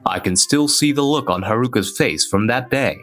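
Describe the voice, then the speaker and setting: A man's voice: mid-range voice, animated, moderate pace, fairly bright tone, soft background music, lightly acted. A man playing a reserved, self-doubting young office worker who narrates his own romance in a scripted manga dub. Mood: pensive, regretful.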